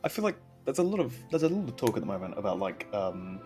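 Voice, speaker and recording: A man's voice: lowish voice, very animated, fast talker, a little mellow. A young man giving casual, rambling, hesitant commentary while streaming a game. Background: faint game music.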